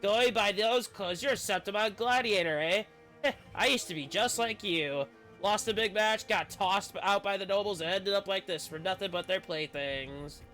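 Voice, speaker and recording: A man's voice: high-pitched, normal speed, bright, forceful tone, soft background music. A man voicing a weary, bitter cast-out gladiator who now hunts to survive, in a video game story. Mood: bitter, resigned. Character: weary cast-out gladiator turned wary hunter.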